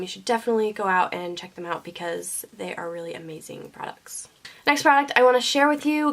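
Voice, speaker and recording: A young woman talks through her beauty favorites in a chatty, loose way, filling her sentences with "um" and "like." She sounds a little sniffly from a cold, with a voice that sits at an ordinary middle pitch. She speaks into her camera's own microphone. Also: a bit bright. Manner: chatty, many ums and likes. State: sniffly from a sinus infection. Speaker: beauty vlogger. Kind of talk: casual product favorites chat. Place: indoors, seated before a camera. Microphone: camera mic.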